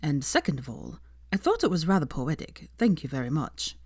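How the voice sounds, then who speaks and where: A woman's voice: deep voice, big pitch swings, moderate pace, a little mellow, breathy edge, dry recording, exaggerated voice. A woman voicing a haughty, proud young wizard man in an audiobook, with a dry drawl. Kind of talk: audiobook character voice.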